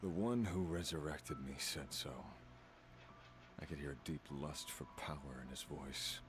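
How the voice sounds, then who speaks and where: An actor playing a brooding, remorseful fallen villain in a video game, speaking slowly and solemnly with halting phrases. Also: male voice, very deep voice. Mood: grave. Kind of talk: dramatic video game dialogue. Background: music.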